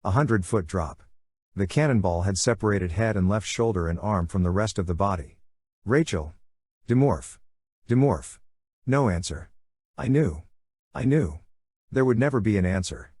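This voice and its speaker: A man's voice: on the low side, animated, moderate pace, darkish tone, slightly rough, no room sound, studio quality. A man reading first-person fiction as a dramatic, intense audiobook narration.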